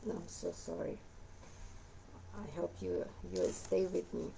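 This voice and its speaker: A woman with a hoarse voice reads a nonfiction book aloud, pausing often on "uh" and going back to fix her words. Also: fairly low pitch, big pitch swings, mellow, a little hiss or hum, poor audio. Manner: halting reading with frequent uh fillers and self-corrections. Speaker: book reader.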